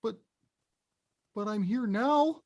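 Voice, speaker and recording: A woman voicing a big, hot-tempered but caring male video game villain in a script read, with a blunt, gruff delivery. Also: a little high, slow pace, darkish tone, lo-fi sound. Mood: lost, dejected. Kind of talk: animated film script table read.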